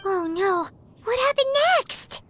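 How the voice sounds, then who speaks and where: A woman's voice: very high-pitched, animated, slow pace, a bit bright, soft background music, full character voice. A woman voicing a sweet, worried little girl in a video game, with childlike, concerned exclamations. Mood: anxious.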